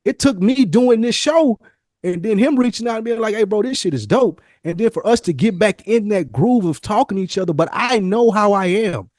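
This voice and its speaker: A man with an American accent and a somewhat high-pitched voice talks casually on a podcast. The sound is dry, with hardly any room to it. He is candid and emphatic, with informal slang. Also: expressive, brisk, a little mellow, dry recording. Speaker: podcast host.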